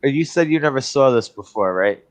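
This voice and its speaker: Regular-pitched guy hosting a chill stream, laughs a lot. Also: lively intonation, normal speed. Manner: easygoing, laughs often. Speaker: host. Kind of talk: casual chat.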